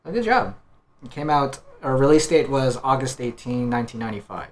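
A man's voice: medium pitch, expressive, a little fast. Man chatting casually on a noisy recording. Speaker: podcast panelist. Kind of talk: casual chat.

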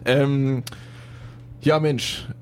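A man's voice: mid-range voice, fairly even intonation, very slow, slight background noise. A young man from Germany hosting a casual podcast chat, joking and laughing often, with lots of fillers. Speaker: podcast host.

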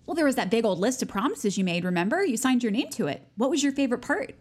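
High-pitched, pushy teenage schoolgirl. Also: animated, fast talker, breathy edge. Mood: smug, chatty. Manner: chirpy, pushy, self-important. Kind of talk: naturalistic audio drama acting.

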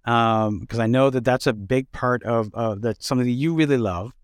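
A man hosting a relaxed, conversational interview, informal and affirming, feeling out his questions as he talks. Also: medium pitch, moderate pace, no room sound, clean studio sound. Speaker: interview host.

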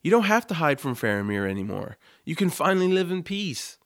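Man playing an upbeat, high-voiced young adventurer. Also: sing-song, a little fast, a bit bright, dry recording, clean studio sound. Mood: encouraging. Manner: cheerful, coaxing. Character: upbeat, eager young human adventurer, friendly and optimistic. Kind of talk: family audio drama acting.

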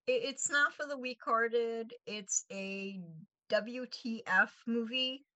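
Female co-host, New York accent, bright forward voice, chatty and informal, close dry sound. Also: on the high side, fairly even intonation, slow pace, no room sound, highs cut off. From New York, United States. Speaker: show co-host. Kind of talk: casual chat.

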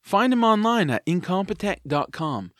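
A man's voice: high voice, big pitch swings, moderate pace, no room sound, studio quality. A man working as announcer, reading a scripted introduction to an audio drama.

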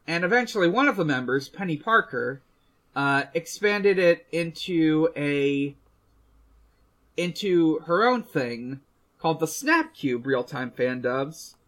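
A man co-hosting a casual recap discussion, speaking in a halting, hesitant way. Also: fairly high pitch, a bit bright. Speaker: podcast co-host.

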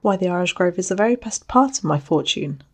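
A woman's voice: fairly low pitch, animated, brisk. A woman voicing an eager, impetuous young heiress in an audiobook reading.

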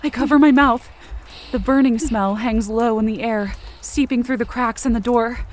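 A woman's voice: on the high side, a little hiss or hum, close to an everyday voice. A woman playing a frightened but determined young woman, narrating her ordeal in the present tense in a tense, urgent audio drama style. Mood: tense, hushed.

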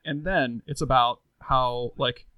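A man's voice: mid-range voice, steady tone, takes it slowly, clear, resonant tone, no room sound, muffled recording. A man co-hosting a casual podcast, riffing playfully on wordplay. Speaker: podcast co-host.

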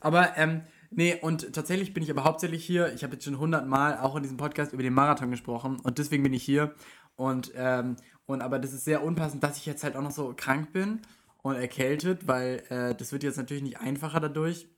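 Hoarse man with a heavy cold. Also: medium pitch, fairly even intonation, brisk, fairly bright tone. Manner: self-mocking, laughs often. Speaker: podcast co-host. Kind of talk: casual chat. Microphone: scratchy microphone.

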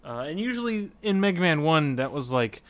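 A man's voice: a little high, sing-song, fairly quick, fairly bright tone. A man co-hosting a podcast, chatting casually about video games in a wry, joking and sometimes sweary manner. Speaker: podcast co-host. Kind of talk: casual video game discussion.

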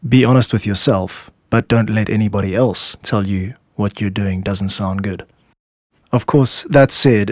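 A man's voice: on the low side, dry recording, clean studio sound. A man hosting a show as a music composer, giving scripted explanatory narration. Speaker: podcast host and music composer.

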